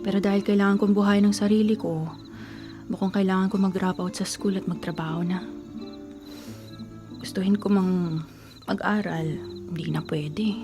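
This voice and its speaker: A woman playing a grieving young college student and devoted girlfriend in a Filipino radio drama, speaking softly and emotionally, at times in whispers. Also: mid-range voice, little pitch movement, normal speed, pauses often, music in the background, close to an everyday voice. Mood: sad, resigned. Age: young adult. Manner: soft, emotional, breaking into whispers.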